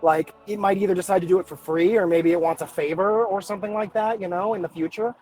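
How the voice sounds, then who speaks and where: A man's voice: high voice, steady tone, fast, darkish tone, faint music behind, poor audio. A man talking casually as a tabletop game player, reasoning aloud in a conversational way. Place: online group voice call, livestreamed game.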